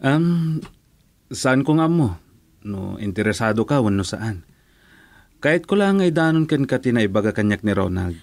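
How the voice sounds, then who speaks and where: A man's voice: medium pitch, big pitch swings, normal speed, pauses often, darkish tone, dry recording. A man acting a mild-mannered, courteous friend in an Ilocano radio drama. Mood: hesitant.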